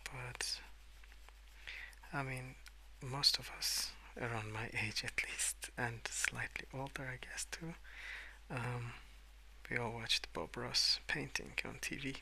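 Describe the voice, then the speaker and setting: Young man, soft-spoken ASMR, breathy half-whisper, very sharp sibilant edge, gravelly fry, unhurried sleepy rambling. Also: medium pitch, fairly even intonation, a little hiss or hum, poor audio. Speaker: ASMR video creator.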